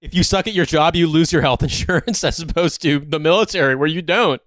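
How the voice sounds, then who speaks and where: A man's voice: a little high, brisk, fairly bright tone, no room sound. A man co-hosting a podcast in casual banter, talking in an emphatic, incredulous, sweary way. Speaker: podcast co-host.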